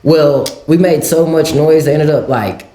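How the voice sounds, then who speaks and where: A man's voice: a little high, a little slow, mellow, echoey room. A man from Louisiana and Mexico with a mixed accent, a podcast guest telling stories in loose casual talk full of "like"s and restarts. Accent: Louisiana-Mexican mix. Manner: loose storyteller, many "like"s and restarts. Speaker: guest, skateboarder.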